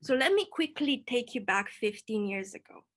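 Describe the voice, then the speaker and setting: A woman's voice: fairly high pitch, lively intonation, moderate pace, fairly bright tone. A young woman in her twenties from Romania, giving a prepared storytelling talk as a PhD student in a light, playful way. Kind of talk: prepared talk read to an online audience.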